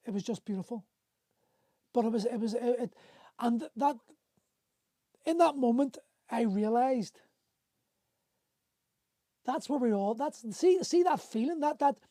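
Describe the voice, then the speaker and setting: A man's voice: very high voice, lively intonation, a little slow, pauses often, mellow, no echo, clean studio sound. A middle-aged man hosting a livestream, telling a personal story candidly and emotionally, swearing freely and often stopping to restart his sentences. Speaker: host. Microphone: phone on a livestream.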